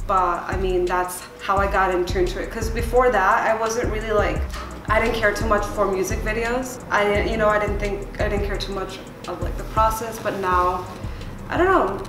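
A woman's voice: mid-range voice, fairly even intonation, normal speed. A woman in her late twenties from El Salvador, raised partly in Chicago, talking casually and candidly in an interview, often saying like and you know. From El Salvador, moved to Chicago at age six. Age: late 20s. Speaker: music video creator being interviewed. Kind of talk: casual reflective interview talk. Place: small bright window-lined room, indoors. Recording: roomy echo with music underneath. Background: music.

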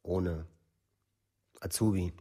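An actor playing a teenage murder suspect in a documentary radio drama, terse and formal as in a police statement. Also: male voice, low-pitched, fairly even intonation, extremely slow, mellow, exaggerated voice. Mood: terse, guarded. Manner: terse, detached, formal recitation.

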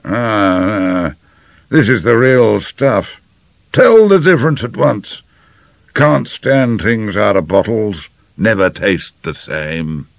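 A gruff, suspicious old English father and general blusters in clipped fragments, as a male narrator plays him. His storybook voice lurches up and down in pitch and is recorded dry and close. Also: animated, slow, no echo, full character voice. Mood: satisfied. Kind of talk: audiobook character dialogue.

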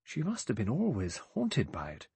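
A man's voice: medium pitch, wildly swooping pitch, moderate pace, creaky, mellow, breathy edge, no room sound, heavily acted. A man voicing a nervous young woman who is fighting for composure in an audiobook, hesitant and anxious. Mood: uneasy.

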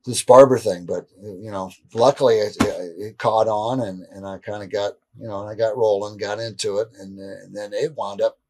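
A middle-aged man as an interview guest, telling stories in a rambling, animated way with frequent restarts, seated indoors. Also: fairly low pitch, moderate pace, hardly any pauses, slight background noise. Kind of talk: casual storytelling in a shoot interview. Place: indoor room, seated on a leather couch.

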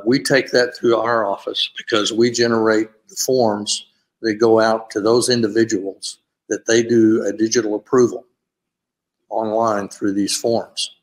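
Lower-voiced older man explaining procedures. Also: rough recording. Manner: steady, explanatory, with frequent "uh" fillers. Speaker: panelist, graduate school administrator. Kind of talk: conference panel talk over video call. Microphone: video call.

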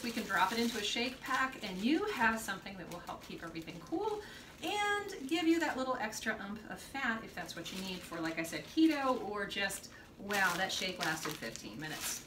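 A woman's voice: on the high side, background noise. A woman in her thirties hosting a casual kitchen demonstration, chatty and upbeat, filmed in a home kitchen with a camera on the counter. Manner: chatty, upbeat, explaining as she goes. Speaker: host. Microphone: camera at counter distance.

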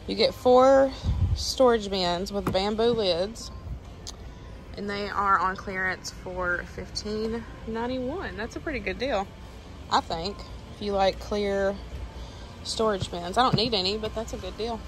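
A woman's voice: mid-range voice, measured pace, music in the background, low-quality recording. A woman with a Southern US accent chatting casually as a shopping vlogger, informal and gushing about products and prices. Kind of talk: casual vlog chat, remarking on products and prices.

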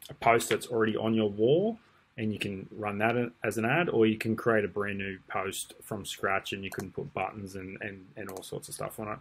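Laid-back man with a low voice. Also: slightly rough. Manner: relaxed, rambling, many ums and restarts. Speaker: marketing tutor. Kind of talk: casual screen-share tutorial. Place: indoors at a desk.

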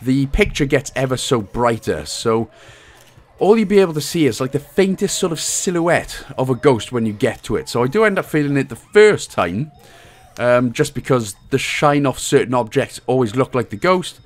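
A man's voice: medium pitch, animated, normal speed. A man with a Welsh accent giving casual, chatty, slangy walkthrough commentary on a video game as the guide's host. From Wales.

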